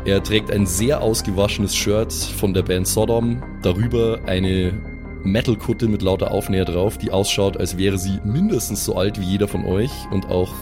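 A man's voice: on the low side, steady tone, normal speed, music in the background. A man, improvising as game master in a colloquial, descriptive way. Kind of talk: improvised tabletop game narration.

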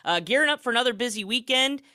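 A man's voice: extremely high pitch, animated, fast talker, pushed and bright, clear, resonant tone. A man hosting a racing talk show from a studio, chatty and upbeat with frequent restarts. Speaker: racing podcast host. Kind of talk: casual sports talk.

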